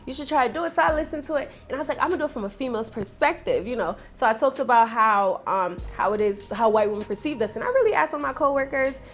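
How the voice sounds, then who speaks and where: A young woman from Jamaica, guest on an interview, talks in a fairly high voice. She tells her stories with animation and drops a "honey" now and then. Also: fast, hardly any pauses, soft background music. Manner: animated storyteller, calls people honey. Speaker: rapper guest. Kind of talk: casual interview chat.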